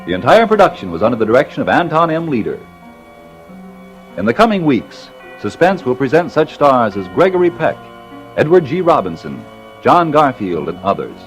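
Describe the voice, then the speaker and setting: A man's voice: medium pitch, sing-song, normal speed. A man announcing in polished, emphatic 1940s radio style from a script. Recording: vintage radio broadcast over music.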